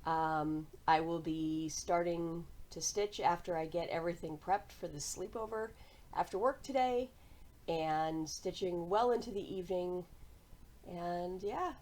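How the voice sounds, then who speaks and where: A woman with an American accent talks in a casual, chatty vlog style. Her voice sits a little lower than average for a woman. She comes across as cheerful and conversational as she addresses her viewers. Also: measured pace, darkish tone. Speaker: cross-stitch vlogger and host.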